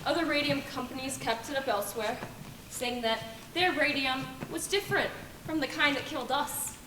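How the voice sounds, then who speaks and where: A woman performs a bitter, disillusioned young factory worker delivering a stage monologue. The voice is fairly high and echoes around the room, picked up from a distance with clicks and noise. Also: normal speed, lightly acted. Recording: roomy distant stage recording, noisy, clicks.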